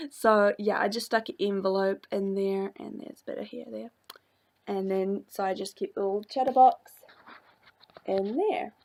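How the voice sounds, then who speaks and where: Slightly hoarse, gravelly young woman. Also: mid-range voice, steady tone, a little slow, darkish tone. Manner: chatty, rambling with many "like" fillers and small laughs. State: slightly hoarse from a coming cold. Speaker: craft vlogger. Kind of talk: casual chat showing a journal.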